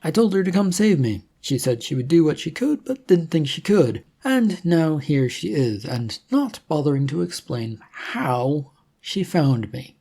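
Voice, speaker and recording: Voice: mid-range voice, very animated, a little slow, creaky, mellow, pitched above the performer's own voice, heavily acted. A narrator voicing an exasperated, suspicious young male hero in an adventure audiobook, with an indignant, sarcastic, rambling manner. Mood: rambling, annoyed.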